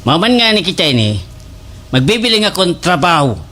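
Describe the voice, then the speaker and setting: An actor playing an excitable, complaining village father in a slapstick radio comedy, with a Waray accent and exaggerated exclamations. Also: high-pitched, a little fast, a little hiss or hum, exaggerated voice. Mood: grumbling. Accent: Waray, Eastern Visayas Philippines.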